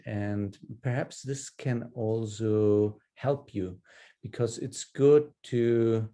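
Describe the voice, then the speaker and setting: Mellow, halting Austrian man in his fifties. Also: fairly low pitch. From Upper Austria. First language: German. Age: 50s. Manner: hesitant, halting delivery with repeated words. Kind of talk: personal storytelling in an online group session. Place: video call.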